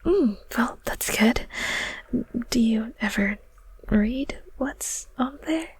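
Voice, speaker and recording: A very breathy, half-whispered female shade acted out by a woman speaks softly and slowly, close and dry. She is a friendly, bashful ghost from an ASMR roleplay, stammering and hesitating in a gently awkward way. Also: on the high side, no echo, heavily acted. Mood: warm, interested. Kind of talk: intimate ASMR audio roleplay.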